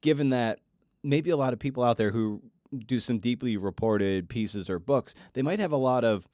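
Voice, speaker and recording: A man hosting a podcast interview in a casual way, halting and rephrasing as he works toward his point. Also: mid-range voice, darkish tone, no room sound, studio quality. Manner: halting, rephrasing questions as he goes.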